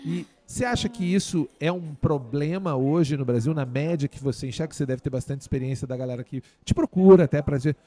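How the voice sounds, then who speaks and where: A man's voice: fairly high pitch, fast, few pauses, warm tone. A man from Brazil hosting a podcast, chatty and jokey, asking long winding questions. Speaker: podcast host. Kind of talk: casual podcast interview. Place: studio.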